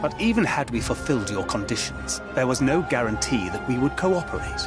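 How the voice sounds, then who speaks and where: An actor playing a defiant, articulate young fantasy hero in a video game, speaking formally with dry irony. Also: male voice, mid-range voice, big pitch swings, fairly quick, loud music behind the voice, close to an everyday voice. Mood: dry defiance. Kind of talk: dramatic video game voice acting.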